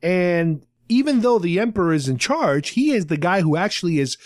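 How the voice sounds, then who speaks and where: Animated man explaining in a dry-sounding room. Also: high voice, moderate pace, dry recording. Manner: explanatory, conversational. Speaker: podcast host. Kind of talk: casual fan discussion with a co-host.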